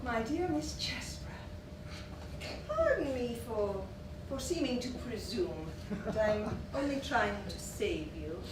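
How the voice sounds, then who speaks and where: High, swooping, gossipy society lady played by an actress. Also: big pitch swings. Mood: insinuating. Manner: coy, theatrical, drawn-out insinuations. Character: gossiping, insinuating society woman, falsely sympathetic. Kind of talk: stage acting in a period one-act play. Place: theatre stage, recorded from the audience area. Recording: roomy, noisy stage recording from far off.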